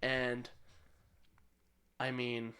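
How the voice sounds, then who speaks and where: A man with a mid-pitched voice co-hosts a casual podcast. He gives his opinions bluntly and swears freely as he chats. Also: completely flat delivery, drawn-out words, sharp tone, smooth. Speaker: podcast co-host.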